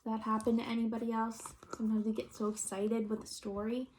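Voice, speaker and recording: Woman, higher-pitched voice, children's read-aloud, expressive storyteller, asides to young listeners. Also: fast, a little hiss or hum, poor audio. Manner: expressive, addresses children with questions.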